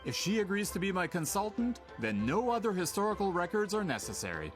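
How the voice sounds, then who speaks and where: Pushy author in a game, fussy and impatient, higher voice, very expressive, light music behind. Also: male voice, brisk. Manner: self-assured, a little impatient and fussy. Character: pushy male author chasing a story. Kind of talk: video game voice acting.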